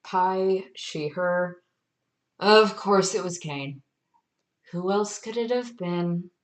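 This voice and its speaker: A woman giving measured, prepared commentary as a listener's voice message. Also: on the low side.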